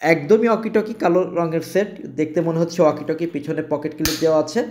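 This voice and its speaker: A Bangladeshi man delivers an informal sales pitch as a shop presenter. His voice sits a little high for a man, with a very relaxed, mellow tone. He repeats key phrases as he goes. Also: fairly quick. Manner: repetitive, restates phrases. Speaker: shop presenter selling products.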